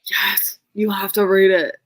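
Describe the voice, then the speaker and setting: A woman's voice: mid-range voice, flat delivery, a little slow, dry recording. A woman chatting casually and expressively about books as a co-host, in an informal, emphatic way. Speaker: book show co-host. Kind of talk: casual book chat.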